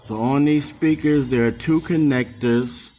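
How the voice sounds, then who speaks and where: A man's voice: medium pitch, steady tone, a little slow, dark tone, a little hiss or hum. A man giving a casual, unscripted demonstration as a seller, thinking aloud in a plain, hesitant way. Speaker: online seller demonstrating used goods. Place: indoor room, behind a handheld camera. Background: music from speakers being tested.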